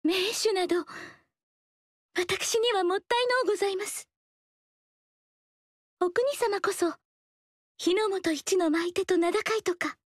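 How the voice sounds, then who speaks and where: A woman voicing a graceful, humble noble dancer in a video game, speaking softly and politely in elegant formal speech. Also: extremely high pitch, a little slow, pauses often, no echo, studio quality. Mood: modest. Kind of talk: game character voice lines.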